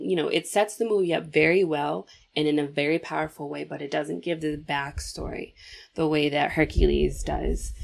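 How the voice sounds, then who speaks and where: A woman's voice: low-pitched, creaky, poor audio. A young woman hosting a casual movie commentary, chatty and opinionated, talking straight to viewers while sniffly from a cold.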